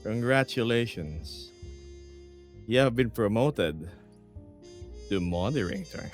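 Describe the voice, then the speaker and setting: A man's voice: fairly low pitch, lively intonation, slow pace, soft background music. A man in his thirties streaming from home, chatting casually and reading chat messages aloud with frequent laughs.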